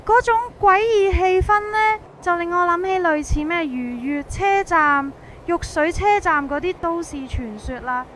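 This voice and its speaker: Female narrator, Hong Kong Cantonese, extremely high voice, colloquial dramatized suspense storytelling over light ambient noise. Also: measured pace, a bit bright. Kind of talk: first-person scripted suspense narration.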